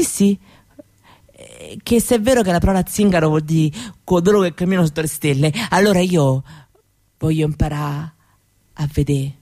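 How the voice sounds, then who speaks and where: An actress playing a dreamy young Roma woman recalling her childhood in an intimate, halting radio monologue. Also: on the low side. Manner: halting, wistful, with soft repetitions.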